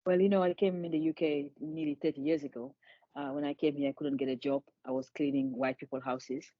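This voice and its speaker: A woman's voice: deep voice, steady tone, brisk, dry recording, muffled recording. A woman, a charity founder speaking earnestly in an interview and often restarting her words. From Senegal. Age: 50s. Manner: earnest, repeats words as she restarts, laughs lightly. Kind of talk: conversational interview.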